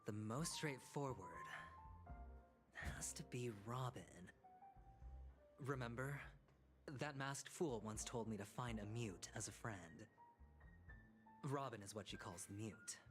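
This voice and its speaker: Actor as a sly, theatrical gambler with music behind. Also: male voice, medium pitch, slow pace, pauses often, lightly acted. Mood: musing. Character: suave, sly male gambler, theatrical young schemer. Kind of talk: video game voice acting.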